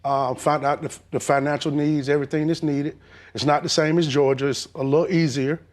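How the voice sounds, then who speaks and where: Brief: man in a TV courtroom, defensive and halting, slightly high voice. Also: fairly even intonation. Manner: hesitant, many "um"s, defensive. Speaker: litigant on a court show. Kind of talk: courtroom testimony.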